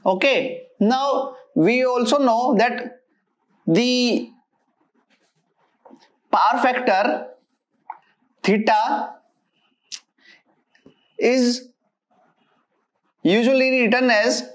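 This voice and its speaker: A woman giving a step-by-step technical lecture as an engineering teacher, methodical and halting as she works through equations. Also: a little high.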